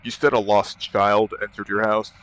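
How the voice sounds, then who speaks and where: A man's voice: fairly low pitch, level tone, normal speed, a bit bright, a little hiss or hum, close to an everyday voice. A man playing a businesslike police emergency dispatcher, questioning steadily in an acted phone call. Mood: calm, concerned.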